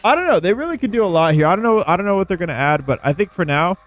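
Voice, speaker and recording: A man giving casual gameplay commentary, thinking out loud in an informal way. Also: high voice, animated, very fast. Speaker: gaming video creator. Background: background music.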